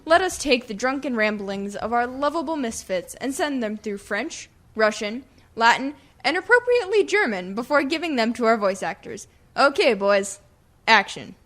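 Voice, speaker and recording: Animated woman hosting a radio comedy. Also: a little high, normal speed. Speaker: radio play host and narrator. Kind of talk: scripted comic introduction.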